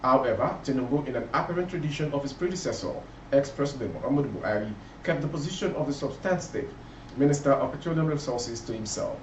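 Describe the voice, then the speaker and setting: A man's voice: medium pitch, lively intonation, quick pace. A Nigerian man reads the news from a script in a formal, declarative way. His voice reaches us through a dull recording, with plenty of room echo and a faint layer of noise behind him. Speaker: news reader. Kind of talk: scripted news bulletin read aloud. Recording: roomy, muffled, distant, faint background noise.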